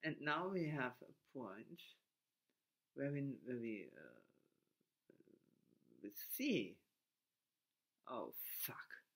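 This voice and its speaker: A man from Germany with a German accent, speaking haltingly in a reflective monologue with frequent restarts and broken grammar. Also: mid-range voice, wildly swooping pitch, vocal fry, fairly bright tone.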